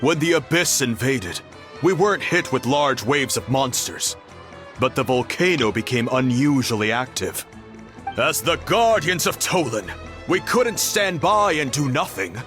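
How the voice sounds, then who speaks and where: Upbeat male guardian, animated and warm-spoken, faint music behind. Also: mid-range voice. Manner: warm, grateful, lively storytelling. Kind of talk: game voice acting. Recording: game audio with faint music.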